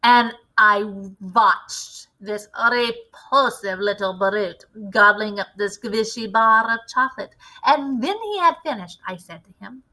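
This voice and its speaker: A woman voicing an imperious, gloating Grand High Witch with a theatrical, deliberate, commanding delivery in a storybook reading. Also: sharp tone, poor audio. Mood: sly, scornful.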